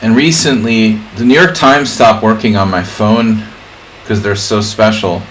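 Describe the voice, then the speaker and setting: A man's voice: on the low side, steady tone, unhurried, fairly bright tone, poor audio. A man hosting a livestream in casual, rambling chat, drifting off on jokey tangents. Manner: digressive, jokey. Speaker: livestream host, chess streamer. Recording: clear background noise.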